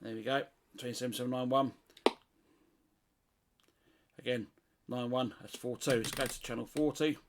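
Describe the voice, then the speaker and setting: A man's voice: medium pitch, steady tone, normal speed, pauses often. A middle-aged British man with a mid-range voice gives an informal, hands-on demonstration. He speaks unscripted, working things out aloud as he tests. Noticeable noise and small clicks sit behind his voice. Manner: unscripted, thinking aloud while testing. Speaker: CB radio hobbyist presenter.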